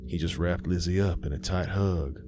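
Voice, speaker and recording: A man's voice: deep voice, normal speed, background noise. A man narrating a scripted horror tale in a dramatic, folksy storytelling manner.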